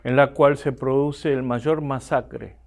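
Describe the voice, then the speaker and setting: A man's voice: mid-range voice, fairly even intonation, slow, creaky. A man from Argentina with an Argentine accent, giving a classroom lecture and often asking the students rhetorical questions. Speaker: seminary lecturer.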